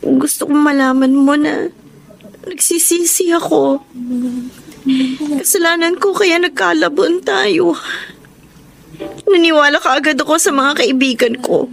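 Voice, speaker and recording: A woman's voice: high-pitched, unhurried, gravelly, pauses often, a little hiss or hum. An actress playing a heartbroken, remorseful young woman in a Filipino radio drama, emotional and earnest. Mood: tearful pleading. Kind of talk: Filipino radio drama acting with letter narration.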